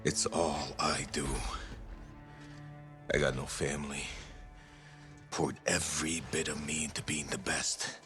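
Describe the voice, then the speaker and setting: An actor playing a proud, stubborn, gruff veteran freelancer pilot in a video game, blunt and rough-spoken. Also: male voice, a bass voice, little pitch movement, a little slow, long pauses, over music. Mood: bitter, weary. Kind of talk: dramatic video game cutscene acting.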